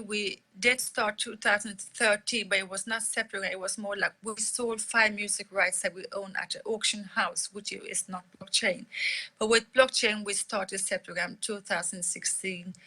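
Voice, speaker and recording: A woman's voice: mid-range voice, pushed and bright, low-quality recording. A middle-aged woman from Liberia with a mixed Liberian, British and Swedish English accent, chatting loosely on a live-stream Q&A with lots of fillers and restarts. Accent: mix of Liberian English, British English and Swedish English. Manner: conversational, many fillers and restarts. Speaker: company CEO answering audience questions.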